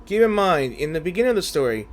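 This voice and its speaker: A man hosting a gaming video, commenting casually and haltingly as he reasons aloud over game music. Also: sits high, big pitch swings. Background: faint game music.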